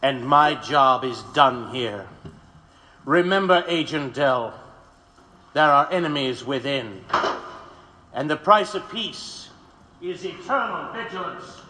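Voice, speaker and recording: An actor playing a sinister, self-satisfied security zealot in an audio drama, measured and portentous, in an echoey sound. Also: male voice, on the high side, expressive, bright, forceful tone, full character voice. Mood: ominous.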